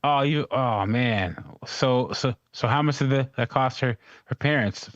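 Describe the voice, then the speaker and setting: A man's voice: mid-range voice, a little slow, no echo, highs cut off. A man co-hosting a call-in show, introducing callers and asking blunt, wry questions. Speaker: co-host.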